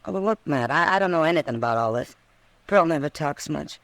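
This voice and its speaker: An American actress playing a frank, worldly saloon woman of the Old West in a radio drama, familiar and expressive. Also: low voice, a little fast, no echo. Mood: surprised, frank. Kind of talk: 1950s radio drama acting.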